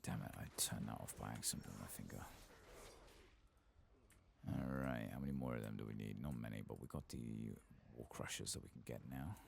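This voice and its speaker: A soft-spoken man murmuring unhurried ASMR commentary to himself as he plays through a video game. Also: a bass voice, gravelly. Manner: murmured, unhurried, talking to himself while playing. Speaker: gaming channel host. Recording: faint background noise.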